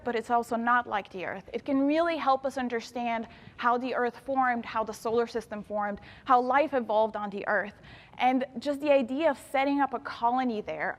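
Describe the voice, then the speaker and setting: A woman in her thirties gives an enthusiastic talk to students, speaking straight to her listeners. Her voice sits a little high. The sound rings with the long echo of an event hall. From Bulgaria. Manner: enthusiastic, addresses audience directly. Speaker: engineer and planetary scientist speaking to students. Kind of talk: inspirational talk to youth.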